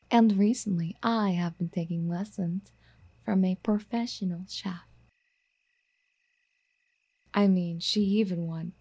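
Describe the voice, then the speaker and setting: A woman playing a doting, clingy, obsessive girlfriend in an ASMR roleplay, speaking in a soft, breathy, coaxing half-whisper. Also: medium pitch. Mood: coaxing, deflated. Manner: cooing, coaxing pet-name sweet talk.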